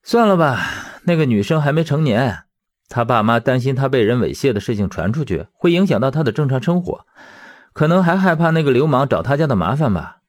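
A man's voice: medium pitch, lively intonation, moderate pace, long pauses, darkish tone, lightly acted. A man voicing a principled, put-upon schoolteacher in his twenties for an audiobook, resigned and placating in manner. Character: resigned, principled 27-year-old male schoolteacher, kind but put-upon.

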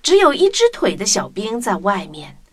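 A woman narrating a scripted children's story in an expressive storytelling manner. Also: medium pitch, normal speed, husky.

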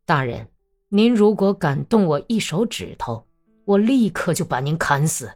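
A woman voicing a defiant male Cossack commander in an audiobook, terse and stubborn, in a low, muffled voice, with music behind. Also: very animated, measured pace, darkish tone. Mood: menacing. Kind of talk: audiobook character acting.

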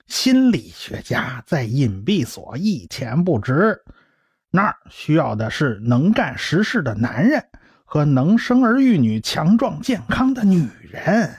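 A man's voice: high voice, darkish tone, husky, no room sound, clean studio sound, exaggerated voice. A man playing a cocky, talkative scientist in a radio drama, with a blustering, explanatory way of talking. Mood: scornful, boisterous. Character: cocky, talkative male scientist and science populariser, brash and lively. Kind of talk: Chinese radio drama acting.

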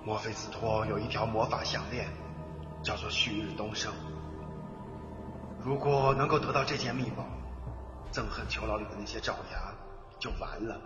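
A grave male adviser, voiced by a man with a lowish tone, giving measured warnings. The sound is dull and echoey with long reverb, and music plays loudly beneath. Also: fairly even intonation, close to an everyday voice.

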